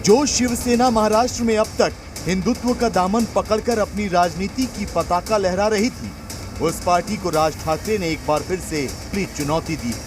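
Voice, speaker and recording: A man's voice: high-pitched, moderate pace. A man reading a scripted news report as voice-over, with an emphatic, dramatic delivery. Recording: voice-over with music bed.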